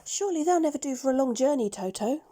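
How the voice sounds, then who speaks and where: A woman voicing an eager young farm girl in a children's storybook, talking brightly to her pet. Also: sits high, expressive, fast talker, pitched above the performer's own voice. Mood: eager, musing.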